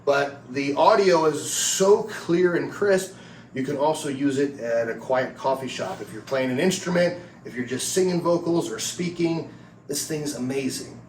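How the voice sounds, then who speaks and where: A man's voice: mid-range voice, measured pace. Man presenting in a roomy, distant recording. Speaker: product reviewer. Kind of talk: casual product review and demonstration. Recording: roomy, distant mic with background noise.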